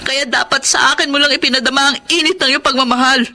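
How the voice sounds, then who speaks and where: A betrayed wife in a radio melodrama, wounded and jealous, still aching with love. Her voice is high and piercing, delivered with intense, anguished emotion. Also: fairly even intonation, quick pace, pushed and bright, heavily acted. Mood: hurt, accusing. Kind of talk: melodramatic Tagalog radio drama acting.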